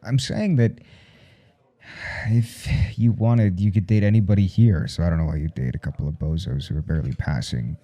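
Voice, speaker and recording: A man playing a flustered, jealous professor in an intimate audio roleplay, speaking haltingly and gruffly with a gravelly voice and plenty of swearing. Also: low-pitched, mellow. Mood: defensive, jealous. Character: jealous, flustered male professor smitten with his teaching assistant.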